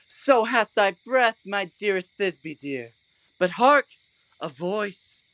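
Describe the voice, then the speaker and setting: An actor playing a pompous, oblivious and stubborn weaver who fancies himself an actor, in a comic Shakespearean audio play. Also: male voice, high voice, lively intonation, slow, pushed and bright, no room sound, full character voice, low-quality recording. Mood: hammy. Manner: hammy, declamatory. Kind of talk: theatrical comic Shakespearean audio drama acting.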